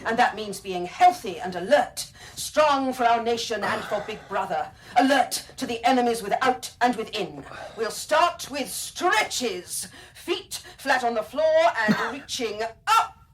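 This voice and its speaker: A woman playing a zealous, bossy exercise instructor of an authoritarian state in a radio drama, brisk and hectoring. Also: a little high, animated. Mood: brisk cheer. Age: 30s.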